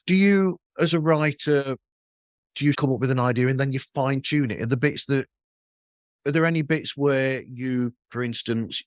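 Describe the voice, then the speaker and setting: A man's voice: medium pitch, no room sound, clean studio sound. A man hosting a podcast interview in a casual, meandering way, rephrasing his thoughts and questions as he goes.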